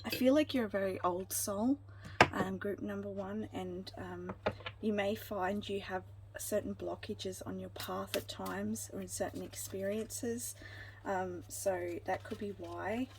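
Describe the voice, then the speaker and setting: A woman reads tarot for her viewers in a gentle, reassuring way, her pitch sitting in the middle for a woman. She wanders through her thoughts with many ums and restarts. A faint hiss of background noise sits under her voice. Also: fairly even intonation, unhurried. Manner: meandering, frequent ums and restarts. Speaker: tarot card reader. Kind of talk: intuitive pick-a-card reading.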